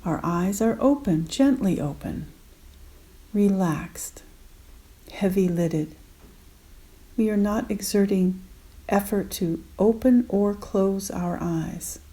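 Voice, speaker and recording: A woman guiding a meditation gently and unhurriedly in short spaced phrases, with soft, breathy endings. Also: medium pitch, slow, pauses often. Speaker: meditation guide. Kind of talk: guided meditation.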